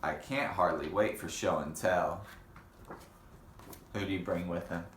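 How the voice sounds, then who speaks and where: A man reading a children's picture book aloud in an unhurried way, pausing for casual comments and questions. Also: deep voice, fairly bright tone. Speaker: storybook reader. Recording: roomy echo with faint background noise.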